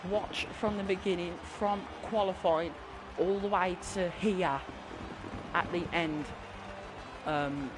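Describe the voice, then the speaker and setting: A man commentating a sim racing league with excitement, his voice strained and fading. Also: noisy background. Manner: excitable, voice climbing high at big moments. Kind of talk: excited live race commentary. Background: music.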